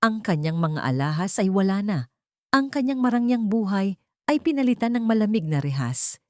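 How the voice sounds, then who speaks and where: A woman narrating a scripted dramatic audio story in measured, sentimental phrasing. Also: mid-range voice, big pitch swings, dry recording, studio quality.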